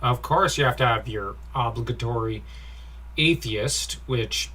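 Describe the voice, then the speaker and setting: A man's voice: mid-range voice, measured pace, sharp tone. A man giving an informal comic review in a nitpicky, critical way, given to sighing. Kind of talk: informal comic review commentary with read-out dialogue.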